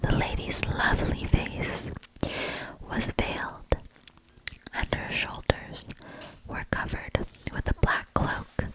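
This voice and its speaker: A woman reading a storybook aloud for ASMR in a breathy whisper, gentle and deliberate, lingering between short phrases. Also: rough recording.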